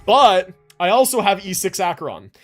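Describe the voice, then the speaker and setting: A man with a high, very animated voice gives casual game stream commentary. He thinks out loud in loose, slangy language and swears freely. Music plays behind him. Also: normal speed. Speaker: game livestreamer.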